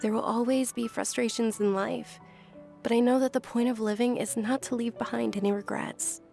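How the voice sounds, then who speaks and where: An actress playing a gentle, reflective young woman in a fantasy video game, speaking softly. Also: a little high, steady tone, moderate pace, creaky. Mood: gentle, resolute. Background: background music.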